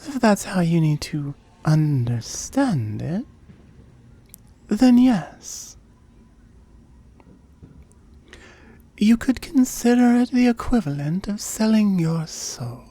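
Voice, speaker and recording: A woman voicing a seductive, smug oni demon in an intimate ASMR roleplay, breathy and often whispering as she slowly coaxes and teases. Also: medium pitch, sing-song, mellow, heavily acted. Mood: intense, persuasive. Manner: slow, coaxing, teasing.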